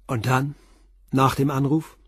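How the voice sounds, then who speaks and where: A man playing a calm police inspector in a radio play, questioning witnesses in a measured, persistent way. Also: mid-range voice, breathy edge, no echo, studio quality. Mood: steady.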